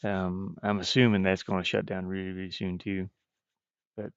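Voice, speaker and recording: A low-voiced man talks casually to his livestream while working on a game. His delivery is unhurried and halting, with a gravelly creak, often trailing off. The sound is dry and a bit muffled. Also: little pitch movement, no echo. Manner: hesitant, halting, frequently trailing off. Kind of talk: casual stream chat. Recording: muffled, dull low-fidelity stream audio.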